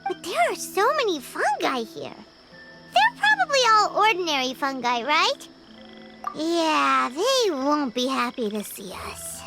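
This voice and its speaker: Casting: little fairy sidekick, extremely high squeaky voice, swooping and childlike, loud game music behind. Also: female voice, a little slow, creaky, heavily acted. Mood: worried. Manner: chirpy, naive, expressive. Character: tiny, childlike floating fairy companion, talks in third person. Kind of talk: video game voice acting.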